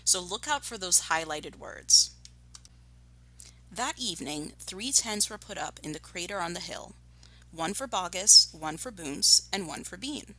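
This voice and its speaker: A woman primary school teacher reads to pupils and explains tasks deliberately. Her voice is slightly low for a woman, with a bright, forceful tone. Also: animated, low-quality recording. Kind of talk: storybook read-aloud and lesson instruction.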